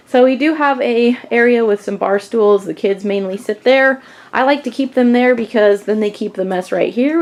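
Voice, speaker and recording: A woman's voice: fairly high pitch, a little mellow. An American woman in her thirties with a slightly congested voice, giving a chatty, informal house tour as a vlogger. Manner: chatty, informal, explaining as she goes. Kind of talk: casual house tour narration to camera. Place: inside a small house. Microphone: handheld camera at arm's length.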